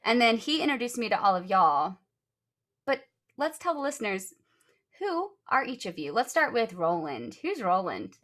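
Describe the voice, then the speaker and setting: A woman hosting a music education podcast, upbeat and quick to laugh. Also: a little high, big pitch swings. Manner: warm, upbeat, laughs easily. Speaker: podcast host. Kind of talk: podcast intro and interview.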